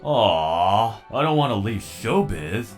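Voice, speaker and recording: A man voicing a sulky, reluctant robot performer who grumbles glumly, in a comedic animated comic dub. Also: on the low side, takes it slowly, soft background music.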